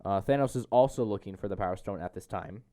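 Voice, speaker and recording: Mellow young man hosting a podcast. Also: fairly low pitch, quick pace, dry recording, studio quality. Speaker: podcast host. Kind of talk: casual movie recap chat.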